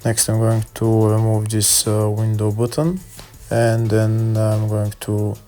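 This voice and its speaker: A man's voice: on the low side, little pitch movement, takes it slowly, mellow, soft background music. A man giving step-by-step how-to narration in a plain, hesitant instructional manner. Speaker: car repair video host.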